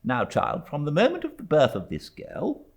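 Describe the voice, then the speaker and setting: A man's voice: a little high, huge pitch swings, normal speed, gravelly, voice pushed up, exaggerated voice. A man voicing a stern, domineering elderly aunt in a storybook reading, with a commanding, clipped and indignant manner. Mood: imperious.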